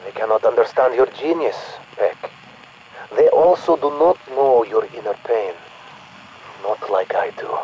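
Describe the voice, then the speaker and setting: An actor playing a sly, coaxing conspirator in a game's radio transmission, with an insinuating, deliberate manner. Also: male voice, mid-range voice, expressive. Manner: smooth-talking, insinuating, deliberate. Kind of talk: dramatic video game radio transmission acting. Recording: dull, slightly noisy radio transmission.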